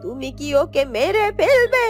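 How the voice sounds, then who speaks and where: Witch's greedy younger sister, extremely high pitch, excitable, fretful, fairy-tale cartoon, music underneath. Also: a little slow, full character voice. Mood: puzzled questioning.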